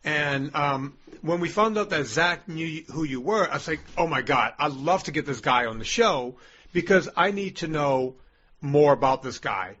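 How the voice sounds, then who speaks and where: Gravelly-voiced man, blunt joking podcast host. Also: fairly high pitch, lively intonation, normal speed, bright, forceful tone. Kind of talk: casual banter. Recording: dull lo-fi podcast audio.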